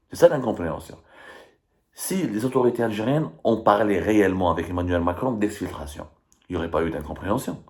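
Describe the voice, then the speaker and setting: A man with an Algerian accent speaks in a fairly low voice with very lively, swinging intonation. He comments like a live political host, picking statements apart emphatically and argumentatively, often repeating himself to drive a point home.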